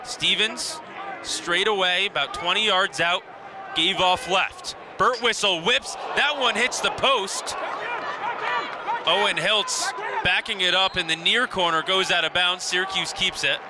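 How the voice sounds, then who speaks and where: Over clear background noise from an outdoor venue, a man in his twenties calls a game live for radio. His voice sits high for a man. He delivers the action in short, descriptive phrases, lifting with excitement when a play is big. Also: measured pace, crowd noise. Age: 20s. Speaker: play-by-play commentator. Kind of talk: live radio sports commentary. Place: outdoor lacrosse stadium. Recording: noisy outdoor broadcast with stadium ambience.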